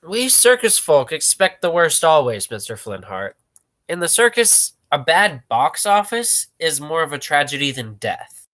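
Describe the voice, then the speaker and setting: An actor playing a businesslike, callous circus boss in a 1940s-style radio play, with emphatic stagey delivery. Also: male voice, a little high, very animated, unhurried, fairly bright tone. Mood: grave.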